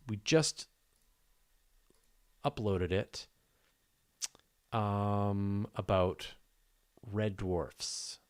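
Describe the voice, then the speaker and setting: A man hosting a live astronomy question show, chatting casually and off the cuff with informal enthusiasm. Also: lowish voice.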